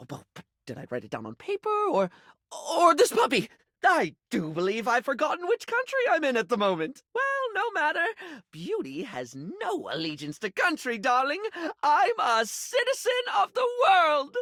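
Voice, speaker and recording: An actor playing a flamboyant, scatterbrained, beauty-obsessed dandy in a video game, with a camp, melodramatic and affected way of talking. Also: male voice, very high-pitched, fairly bright tone, no room sound, studio quality, full character voice. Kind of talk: expressive video game character acting.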